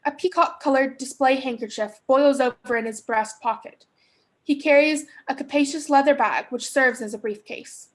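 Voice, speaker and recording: Young woman reading out stage directions for a play, high voice, scripted narration. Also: normal speed. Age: young adult.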